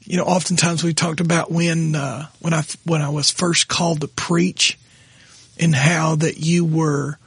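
A middle-aged pastor, hoarse and raspy tonight, talking haltingly with his wife on their podcast. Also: a little high, level tone, fairly bright tone, dry recording. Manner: halting, restarts often when moved. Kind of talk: casual conversation with his wife.

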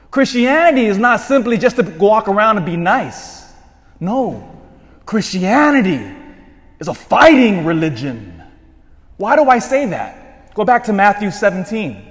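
A man in his forties, a parish priest giving a sermon, swinging from shouted emphasis to quiet asides. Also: sits high. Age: 40s. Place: church. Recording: long church reverb.

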